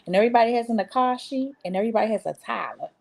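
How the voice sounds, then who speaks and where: A woman's voice: on the high side, animated, rapid-fire, creaky. An American woman speaking casually as a guest in an interview.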